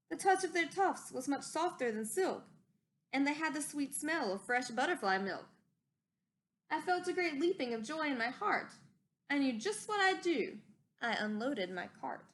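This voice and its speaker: Old storybook inventor, male, eager salesman manner, self-justifying, high-pitched emphatic delivery female reader acting it out.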